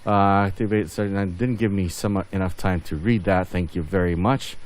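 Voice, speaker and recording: A man's voice: low voice, fairly even intonation, moderate pace. A man doing casual gameplay commentary as host of a gaming video, rambling and thinking aloud as he goes.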